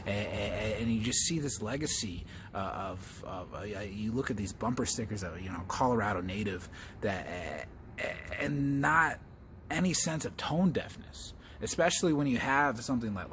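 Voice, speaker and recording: An American man telling reflective personal stories as a storyteller, speaking haltingly and often repeating words as he searches for the next phrase. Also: lowish voice, expressive, background noise. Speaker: comedian and storyteller.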